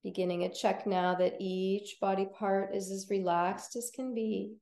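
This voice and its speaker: A woman teaching yoga nidra, guiding relaxation in a gentle, soothing, unhurried manner with long pauses. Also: mid-range voice, flat delivery, vocal fry, roomy sound. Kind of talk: guided relaxation meditation.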